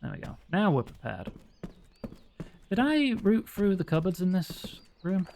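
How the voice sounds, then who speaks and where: A man's voice: sits high, very animated, unhurried, gravelly, a little mellow, slight background noise. A man giving casual, joking commentary while he plays a video game and thinks aloud. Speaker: streamer. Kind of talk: casual let's-play commentary. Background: game music.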